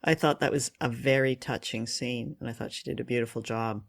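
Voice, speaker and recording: A woman co-hosting a casual review chat, offering her opinions in a measured, thoughtful way. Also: rumbling low, steady tone, vocal fry. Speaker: podcast co-host. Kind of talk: casual review chat about an old radio play.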